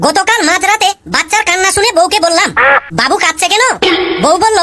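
A woman's voice: very high voice, fairly quick, pushed and bright. A woman voicing a cheeky married male pupil in a cartoon, telling joke stories about his wife to the teacher. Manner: chatty storytelling, punchline delivery. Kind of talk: cartoon comedy voice acting.

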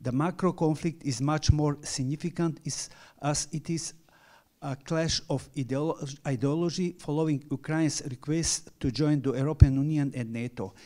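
A middle-aged man with a French accent, a native French speaker, reading a structured academic lecture at a panel in halting English. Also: fairly high pitch, fairly even intonation, warm tone. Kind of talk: academic conference talk. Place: large conference hall with audience.

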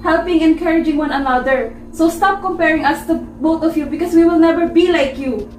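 High-voiced protective eldest sister played by a woman. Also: brisk, darkish tone. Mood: firm. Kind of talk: amateur one-act melodrama acting. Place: living room, far camera mic. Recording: echoey room, distant mic, faint music.

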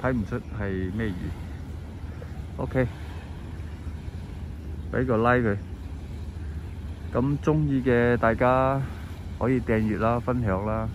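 A man's voice: medium pitch, steady tone, slow, pauses often. A man speaking Cantonese, talking casually and unhurriedly with lots of fillers as he narrates his fishing vlog outdoors by the sea. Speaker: fishing vlogger. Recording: outdoor noise behind the voice.

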